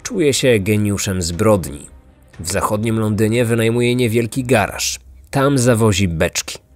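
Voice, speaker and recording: A man's voice: on the low side, measured pace, no echo. A man narrating a scripted true-crime podcast. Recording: music underneath.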